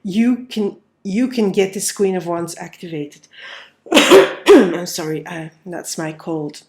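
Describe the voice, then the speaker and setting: A woman's voice: on the low side, expressive, takes it slowly. A woman with a cold and a nasal voice, giving a casual tarot reading and talking through each card as she lays it out. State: has a cold. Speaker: tarot reader.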